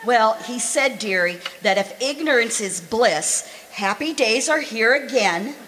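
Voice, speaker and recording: A woman's voice: fairly high pitch, expressive, unhurried, fairly bright tone. A woman playing a patient, wry wife who teases in homely terms in an old-style radio comedy. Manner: dry teasing, homely endearments. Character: patient, wry, teasing wife, middle-aged. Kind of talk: 1940s radio comedy acting, live re-creation. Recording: slightly noisy live stage recording.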